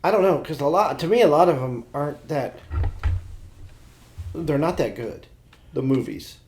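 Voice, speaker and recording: A man's voice: on the high side, animated, moderate pace, darkish tone, slight background noise. An American man chatting casually as a podcast co-host. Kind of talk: casual chat.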